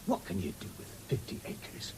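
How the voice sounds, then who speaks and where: An actor playing a brash, ambitious young soldier, a cheeky and eager son, in a British radio drama. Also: male voice, moderate pace, darkish tone, lightly acted. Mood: eager, pushy. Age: young adult. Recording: noisy old radio broadcast recording.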